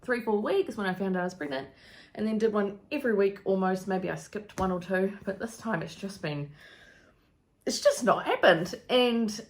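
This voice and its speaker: A woman's voice: medium pitch, moderate pace. A woman in her thirties from New Zealand with a New Zealand accent, talking casually to camera in a rambling vlog full of ums and likes. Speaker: vlogger.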